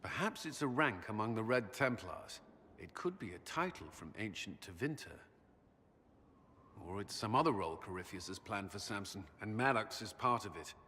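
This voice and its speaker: An actor playing a grave, duty-bound military commander in a video game, earnest, measured and formal. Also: male voice, lowish voice, animated, bright, forceful tone. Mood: somber. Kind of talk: dramatic video game cutscene acting. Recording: hall reverb with background noise.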